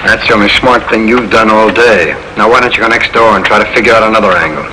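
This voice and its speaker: Menacing hostage-taking bomber, acted by a man, low-voiced. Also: fast talker, noisy background, close to an everyday voice. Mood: smug, menacing. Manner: tough, bossy, barking orders. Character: menacing, impatient male bomber holding police hostage. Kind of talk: 1950s crime radio drama acting. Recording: old radio recording with music behind.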